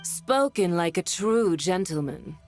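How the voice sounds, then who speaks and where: A woman's voice: fairly low pitch, expressive, slow pace, a little mellow. A woman playing an elegant, poised lady in a video game, superior and teasing in manner. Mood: amused. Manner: smooth-tongued, superior, teasing. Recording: music under the voice.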